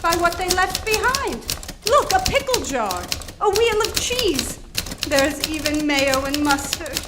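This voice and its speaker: A woman's voice: very high voice, very animated, takes it slowly, full character voice. A woman playing a coy, brazen femme fatale client in a live comedic radio drama, with a theatrical, mock-innocent delivery. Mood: mock-earnest. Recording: very noisy live theater stage recording.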